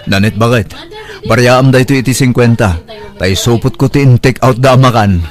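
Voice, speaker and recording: A man's voice: medium pitch, fairly quick, faint music behind, natural voice. An actor playing an affectionate single father courting his first love in an Ilocano radio drama, tender and earnest, with an Ilocano accent.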